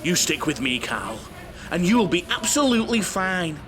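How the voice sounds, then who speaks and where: An actor playing a chatty, camp, fussy coach-trip enthusiast with a theatrical, over-familiar manner in a comic audio drama. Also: male voice, sits high, sing-song, normal speed, fairly bright tone. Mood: knowing, confiding.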